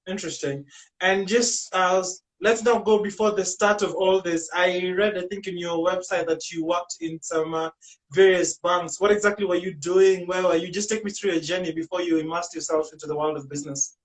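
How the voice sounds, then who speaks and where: High-voiced man asking questions. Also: steady tone, normal speed, low-quality recording. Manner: inquisitive, frequent encouraging interjections. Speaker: interview host. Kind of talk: casual live interview.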